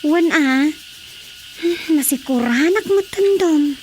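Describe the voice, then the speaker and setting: Actress as a high-voiced caring village woman. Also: animated, slow pace, darkish tone, a little hiss or hum. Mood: confiding. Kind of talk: radio drama acting, natural dialogue.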